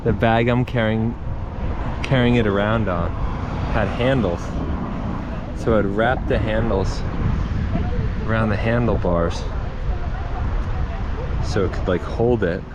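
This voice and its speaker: A man's voice: on the low side, slow, pauses often. A man chatting casually as a travel vlogger while riding a bike through a city park, opinionated and joking as he goes. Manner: chatty, opinionated, jokey asides. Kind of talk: casual travel vlog narration. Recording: outdoor street and traffic noise.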